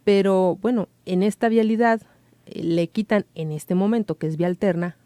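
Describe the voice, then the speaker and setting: A woman's voice: medium pitch, normal speed, warm tone, no echo. A woman hosting a radio news interview, asking conversational questions and thinking aloud.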